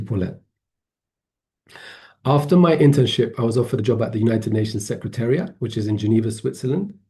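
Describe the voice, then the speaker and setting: A man gives an online presentation over a video call. His voice is mellow and dark. He hesitates a lot and restarts his sentences as he goes. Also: on the low side. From Poland, grew up in England. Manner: hesitant, many fillers and restarts. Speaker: webinar presenter.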